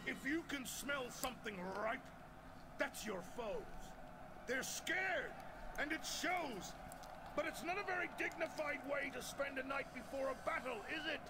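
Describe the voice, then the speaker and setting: A scornful Roman general rallying troops. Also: male voice, extremely high pitch, expressive, unhurried, background noise. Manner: scornful, rousing, declaiming. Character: gruff male Roman general giving a pre-battle speech.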